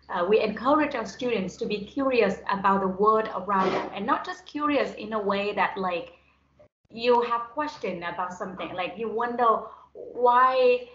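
A woman's voice: medium pitch, a bit bright. Young woman, native Vietnamese speaker, conversational guest talk. Roomy, slightly dull video-call sound. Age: young adult. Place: indoors on a group video call.

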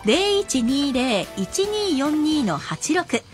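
Female radio shopping announcer, high voice with lively, swooping intonation, scripted sales read. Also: extremely slow, soft background music.

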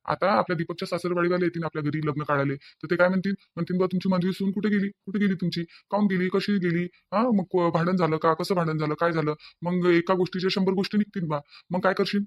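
Man playing a fast-talking, pleading Varhadi family peacemaker. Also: sits high, steady tone, lo-fi sound, natural voice. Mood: coaxing. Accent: Varhadi Marathi. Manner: coaxing, reasoning, repeats phrases. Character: pleading, conciliatory grown man in a quarrelling family. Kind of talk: cartoon family drama.

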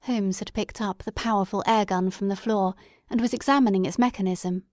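A woman narrates a literary audiobook. Her voice sits at a medium pitch and is recorded dry, with no room around it. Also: steady tone, a little fast, a little mellow, dry recording.

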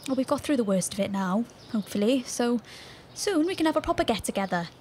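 A woman's voice: on the high side, expressive, fast talker, a little mellow, slight background noise, natural voice. A woman playing a caring, breezy young British friend in an audio drama, chatty and reassuring. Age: young adult. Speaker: actor in a fiction podcast. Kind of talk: naturalistic audio drama dialogue.

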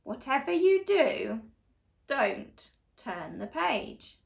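Very slow, high-pitched woman reading to children. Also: vocal fry. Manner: exaggerated, expressive, slow and deliberate delivery to children. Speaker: children's story reader. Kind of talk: animated read-along storytelling for young children. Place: small living room, on a sofa indoors.

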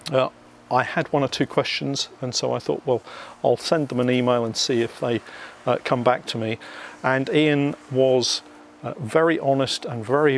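A man with a British accent talks to his viewers as the host of a casual vlog. His voice sits at a middle pitch. He explains things step by step in a chatty way, with some hesitant phrasing, speaking outdoors. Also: slight background noise. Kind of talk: casual unboxing narration to viewers.

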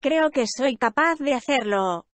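High-voiced woman reading lesson sentences. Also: lively intonation, no room sound. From Spain. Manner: slow, careful, evenly paced delivery. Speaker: language lesson speaker. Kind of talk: reading practice sentences aloud.

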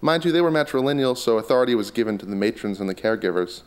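A man's voice: mid-range voice, expressive, racing, smooth, lightly acted. A man reading aloud and voicing a brash, pushy businessman who talks bluntly and swears. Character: brash pushy middle-aged businessman in a cowboy hat.

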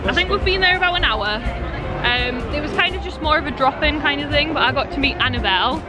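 A woman's voice: high-pitched, quick pace, loud music behind the voice, a loud crowd. A young woman, chatting casually to a handheld camera while vlogging around the city at night. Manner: chatty, rambling, lots of "like". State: croaky voice. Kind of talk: casual vlog chat. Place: city streets, shops and a car at night. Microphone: handheld camera at arm's length.

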